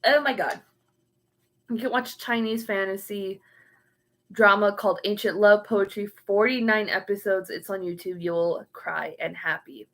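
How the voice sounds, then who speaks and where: A woman's voice: medium pitch, a little slow. Young female streamer, chatty and meandering, reads chat aloud. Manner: rambling, trails off searching for words. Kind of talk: casual livestream chat.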